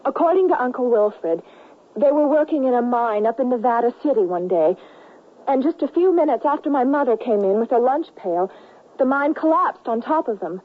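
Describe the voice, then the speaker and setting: Pleading young western girl, high breathy-husky voice, very earnest, old muffled radio drama. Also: animated, normal speed. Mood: wistful, confiding. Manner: earnest, soft-hearted, imploring. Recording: muffled, narrow-band 1970s radio broadcast.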